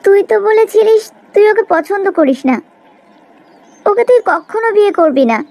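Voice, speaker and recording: A woman's voice: very high voice, animated, moderate pace, darkish tone. A woman playing an earnest, self-sacrificing rich young woman in a cartoon melodrama, speaking plaintively. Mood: bewildered.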